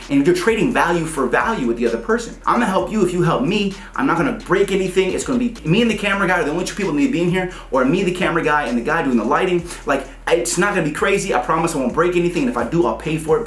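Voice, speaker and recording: A man giving casual music business advice, fast and animated as he breaks down examples. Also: on the high side, echoey room, a little hiss or hum. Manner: fast, animated, pointing things out. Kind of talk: casual advice talk to the camera.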